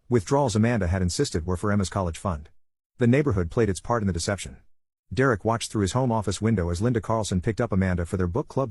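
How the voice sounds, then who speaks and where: Male narrator for scripted drama. Lowish pitch, brisk pace, very dry recording. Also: darkish tone, husky, no echo. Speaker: story narrator.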